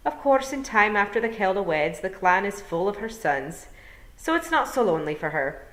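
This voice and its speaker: A woman's voice: mid-range voice, big pitch swings, a bit bright, reverberant, voice pushed up, rough recording. Woman playing a dignified old pixie bard. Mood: wistful. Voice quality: rolled R's. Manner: clear, slow, polite, formal.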